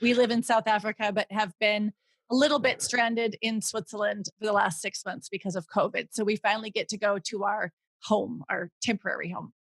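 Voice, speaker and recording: A woman's voice: mid-range voice, fairly even intonation, fairly quick, creaky, a bit bright, no echo. A woman guest on a talk show chatting cheerfully about living abroad. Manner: cheerful, laughs easily. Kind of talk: casual chat on a talk show.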